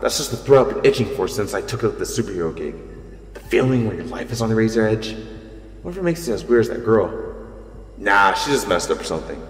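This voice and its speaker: A man playing a thrill-seeking secret superhero who narrates in first person in an audio drama. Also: lowish voice. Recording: echoey reverb under background music.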